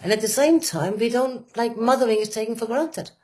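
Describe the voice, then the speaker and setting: A woman with a Danish accent speaking earnestly and with passion, pausing often with fillers and restarting her sentences. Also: on the high side, dark tone. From Denmark. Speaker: women's work facilitator. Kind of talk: reflective conversation.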